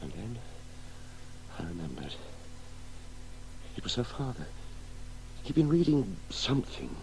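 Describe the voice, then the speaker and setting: An actor playing a tormented, guilt-ridden father in a 1980s radio drama, halting and repeating himself as he broods. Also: on the low side, very animated, creaky, darkish tone, full character voice. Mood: haunted, brooding. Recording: noisy old radio broadcast with hum.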